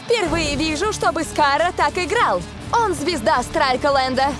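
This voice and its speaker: A woman playing an excited, emphatic football match commentator in a cartoon. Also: high-pitched, measured pace, over music.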